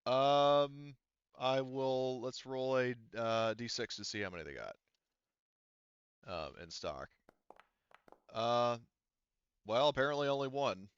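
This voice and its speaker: A man's voice: mid-range voice, expressive, slow pace, pauses often, clean tone, no room sound, lo-fi sound. A man speaking casually as a game master in a tabletop roleplaying game, thinking aloud and swearing now and then.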